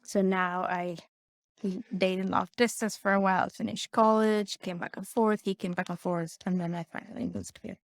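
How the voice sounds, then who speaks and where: A woman's voice: medium pitch, fairly even intonation, normal speed, dry recording. Chatty Peruvian-born woman, dry close sound. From Peru. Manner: chatty, with frequent "like" fillers. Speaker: podcast guest. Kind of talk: conversational interview.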